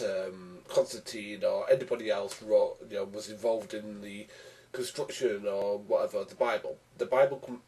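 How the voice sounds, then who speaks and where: A young man with a cold, hoarse and argumentative, arguing with another video and often restarting his sentences. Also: fairly low pitch, a little mellow, recorded from a distance, rough recording. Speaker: YouTube commentator. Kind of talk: casual rebuttal vlog.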